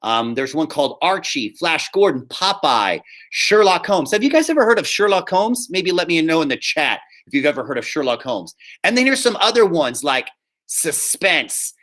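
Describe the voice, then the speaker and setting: A man with a fairly high, very bright voice talks like a drama teacher walking students through an assignment. He is upbeat and informal, explains things step by step, and often checks in with the class. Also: very animated, normal speed. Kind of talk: casual instructional talk to students in an online class.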